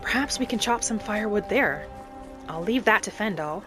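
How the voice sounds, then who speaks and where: A woman's voice: mid-range voice, lively intonation, a bit bright, lightly acted. Reflective female wood elf played by a woman, music behind. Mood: thoughtful, practical. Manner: musing aloud, measured, speaking in short reflective phrases. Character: gentle female wood elf wanderer, reflective and matter-of-fact. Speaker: roleplay gamer narrating in character. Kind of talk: in-character game roleplay monologue. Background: background music.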